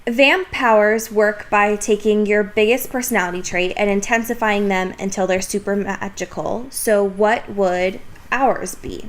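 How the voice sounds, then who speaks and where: A woman's voice: medium pitch, steady tone, unhurried, hardly any pauses. A young woman co-hosting a podcast in casual, irreverent chat, talking in a slangy, sweary, self-mocking way. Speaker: podcast co-host.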